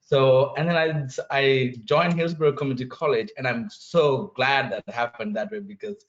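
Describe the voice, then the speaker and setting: A man from Sri Lanka speaks as a student panelist, pausing often with fillers and restarting his sentences. His voice sits at a middling pitch. The sound is dull and lo-fi, like a video call. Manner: halting, many fillers and restarts. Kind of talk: panel discussion answer.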